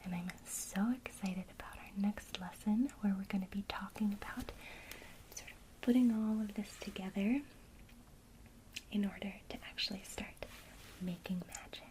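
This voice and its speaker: A woman whispering an ASMR witchcraft lesson, gently encouraging a listener she addresses as her student. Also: mid-range voice, fairly even intonation, slight background noise, low-quality recording. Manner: speaks to the listener as a student, pausing for imagined replies.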